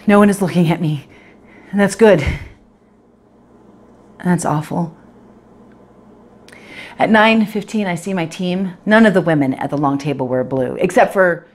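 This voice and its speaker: A woman's voice: sits low, darkish tone. A woman performing a dramatic monologue as an anxious, self-critical professional in her late twenties, confessional and fretful, addressing the audience directly. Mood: anxious, self-loathing, then bitter, withdrawn. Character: anxious, self-critical 28-year-old professional woman, obsessive about appearance.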